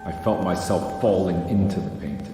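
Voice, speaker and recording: Male actor as haunted private detective, low-pitched, brooding, guilt-ridden, horror game noir, music and rain behind. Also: dark tone, lightly acted. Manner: brooding noir narration with halting confessions. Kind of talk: scripted game voice acting.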